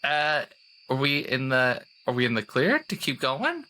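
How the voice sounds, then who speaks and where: A man's voice: medium pitch, sing-song, measured pace, sharp tone, poor audio. A man chatting casually as a player in a tabletop roleplaying game, slipping into in-character asides.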